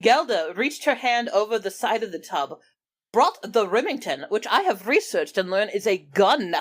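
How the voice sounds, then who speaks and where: A woman's voice: medium pitch, sing-song, normal speed. A woman in an improvised comedy chat, reading passages aloud between bits of banter. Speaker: book club podcast performer reading aloud.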